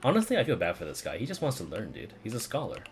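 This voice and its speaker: A man's voice: on the low side, wildly swooping pitch, racing, noisy background. A man giving casual, muttered game playthrough commentary, with plenty of swearing. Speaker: game streamer.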